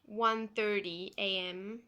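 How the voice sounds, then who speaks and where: Young woman, from Belgium, English not her first language, slow talker, bright voice, personal vlog story. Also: medium pitch, steady tone. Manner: unhurried, plain retelling with frequent ums. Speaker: vlogger.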